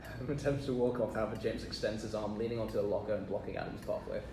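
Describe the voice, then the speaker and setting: A man reads stage directions as narrator at a table read, moving quickly through the script. He sounds distant in a roomy space, with clear noise behind him. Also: lowish voice, steady tone.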